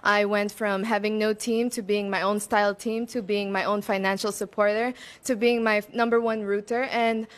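A woman's voice: medium pitch, flat delivery, normal speed, hardly any pauses. A woman gives chatty, earnest pageant interview answers into a stand microphone, mixing in Tagalog words. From Philippines and Macau. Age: twenties. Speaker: beauty pageant contestant. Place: open-sided veranda outdoors.

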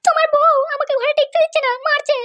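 Very high, whiny young woman. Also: little pitch movement, quick pace, bright, forceful tone. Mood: whining. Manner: plaintive, complaining. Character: tearful, whiny, wronged young sister-in-law. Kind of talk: cartoon comedy dubbing.

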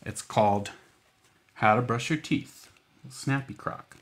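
A man's voice: medium pitch, takes it slowly, a bit bright. An American man hosting a children's storytime, speaking in a folksy, informal, coaxing way with frequent check-in questions. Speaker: library storytime host.

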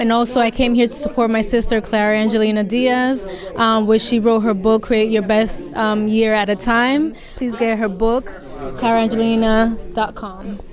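A young woman giving a brief supportive testimonial into a handheld mic at an event. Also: fairly high pitch. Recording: crowd chatter behind.

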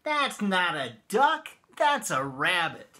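A man's voice: sits high, very animated, slow pace, pushed and bright, a little hiss or hum, exaggerated voice. An American man voicing a stubborn cloud-watcher in a picture book, bickering playfully and contradicting with emphasis.

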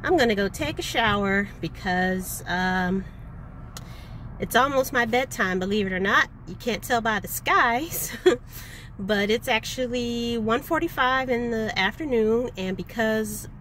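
A woman in her forties vlogging casually from inside her parked van, chatting in an unhurried way and thinking aloud with fillers. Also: a little high, a bit bright, a little hiss or hum. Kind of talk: casual vlog narration.

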